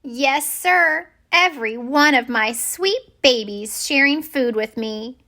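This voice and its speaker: A woman voicing a big old motherly grandmother fish in a picture book, contented and loving, with a slow, folksy delivery. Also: high voice, lively intonation, pushed and bright, voice pushed up, full character voice. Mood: doting, contented.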